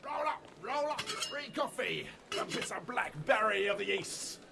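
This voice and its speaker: An actor playing a rowdy street crier who hawks free coffee in a barking sing-song. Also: male voice, high-pitched, expressive, a little slow, gravelly, very noisy, full character voice. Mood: hawking.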